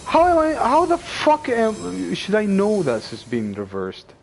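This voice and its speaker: A man commentating his own play, thinking aloud, excitable and swearing often. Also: high voice, measured pace, vocal fry, a little hiss or hum. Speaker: game streamer. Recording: loud game music behind.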